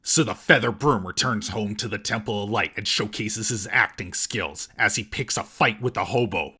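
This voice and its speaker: Casting: male comedy reviewer, mocking delivery, lowish and raspy, reading a scripted recap. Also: normal speed, pushed and bright. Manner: sarcastic, mocking. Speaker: comedic film reviewer and host.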